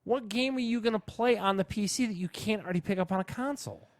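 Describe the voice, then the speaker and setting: Male podcast co-host, fairly high voice, dry close sound, slangy and opinionated casual talk. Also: fast, dry recording. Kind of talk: casual chat.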